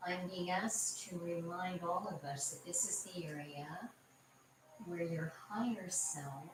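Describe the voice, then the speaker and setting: A woman leading a guided meditation for a group in a gentle, soothing manner, with frequent pauses. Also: on the low side. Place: indoor room with a group present. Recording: roomy echo, distant mic, clear background noise.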